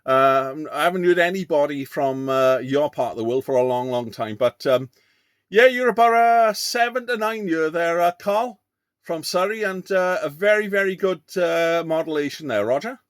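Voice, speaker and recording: A man speaks in a slightly high register. He chats casually as a radio operator, pausing and doubling back on his phrases as he goes. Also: expressive, smooth, dry recording, studio quality. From Wales. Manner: hesitant, repeats himself. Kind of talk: casual radio contact chat.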